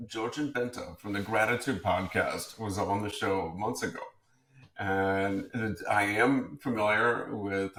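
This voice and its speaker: A man with a lowish voice hosts an interview, working his way into questions with pauses and restarts. His voice rings in a noticeably echoey room. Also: a bit bright. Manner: halting, searching questions full of fillers. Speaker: podcast host. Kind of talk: interview podcast. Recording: roomy echo.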